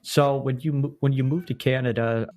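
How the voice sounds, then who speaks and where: A man from New England hosting an interview podcast, asking questions and giving quick affirmations in a conversational way. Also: mid-range voice, steady tone, darkish tone, no room sound, clean studio sound. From New England, USA. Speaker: podcast host. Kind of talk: casual interview.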